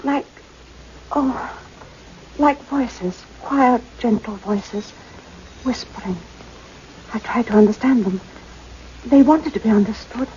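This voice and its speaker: An actress playing a frightened, dazed woman in a radio play, speaking in a halting, dreamy way. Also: on the high side, darkish tone. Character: frightened, dazed woman recalling an eerie encounter. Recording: muffled, noisy radio play recording.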